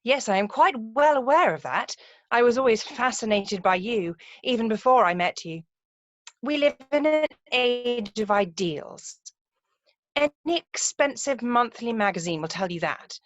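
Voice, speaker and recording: Woman playing a poised romantic young lady, animated, high voice. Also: unhurried, no room sound. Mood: adoring. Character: poised, romantic, self-assured young upper-class lady. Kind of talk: amateur play read-through. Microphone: video call. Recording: muffled, dull video-call audio.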